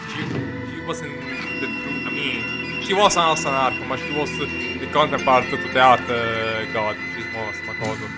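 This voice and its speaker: A man hosting a video game playthrough, talking casually in a halting, rambling, jokey way. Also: medium pitch, fairly even intonation. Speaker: gaming video host. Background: game music.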